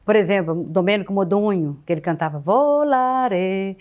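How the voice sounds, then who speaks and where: A woman's voice: mid-range voice, expressive, moderate pace, muffled recording. Older woman fondly recalling family gatherings. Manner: warm, nostalgic storytelling with repeated words. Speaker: interviewee. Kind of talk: reminiscing in a documentary interview.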